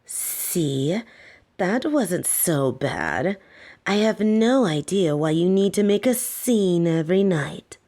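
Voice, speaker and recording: Woman voicing a slow, low, teasing lamia caretaker. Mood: condescending, coaxing. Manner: coaxing, condescending, softly menacing. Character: soft-spoken, teasing, domineering female lamia caretaker, adult monster girl. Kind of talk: scripted roleplay audio, intimate second-person address.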